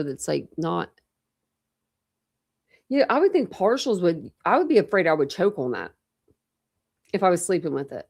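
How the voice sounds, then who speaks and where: A woman's voice: lowish voice, vocal fry, a little mellow. A woman in her forties hosting a casual true crime livestream, thinking aloud and rambling, with a slight lisp from a dental retainer. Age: 40s. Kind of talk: casual livestream chat with viewers.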